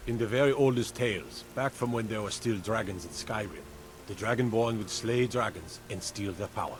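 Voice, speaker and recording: An actor playing a blunt, awed city guard in a fantasy video game, telling of legends with emphasis. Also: male voice, lowish voice, normal speed, a little hiss or hum, natural voice, rough recording. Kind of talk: video game character dialogue.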